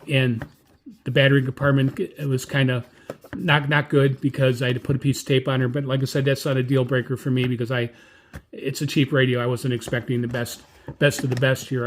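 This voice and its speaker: A man's voice: medium pitch, a little hiss or hum. A man giving a casual, hands-on radio review in a rambling, conversational way.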